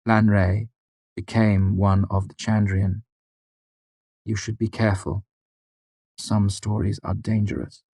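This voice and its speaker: A man voicing a proud, hot-tempered young man in an audiobook, shouting biting words. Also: on the low side, flat delivery, takes it slowly, creaky, pauses often, breathy edge, no room sound, heavily acted. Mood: grave.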